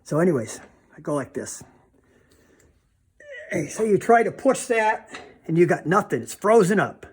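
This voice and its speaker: A man's voice: sits high, sing-song, moderate pace, long pauses, breathy edge. Casting: male DIY demonstrator, informal and plainspoken, talking through a hands-on mechanical job as he works. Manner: plainspoken, informal, thinking aloud while handling parts. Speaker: DIY car repair demonstrator. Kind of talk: casual hands-on repair demonstration.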